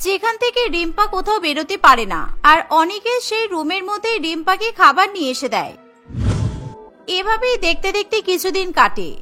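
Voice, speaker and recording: A woman's voice: very high-pitched, sing-song, brisk, bright, forceful tone, soft background music. A woman narrating a scripted cartoon story.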